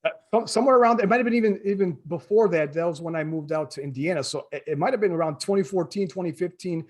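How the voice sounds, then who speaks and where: Podcast guest: American male, fairly high register, conversational, halting delivery with repeated words. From Chicago area, born in former Yugoslavia. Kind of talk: conversational interview.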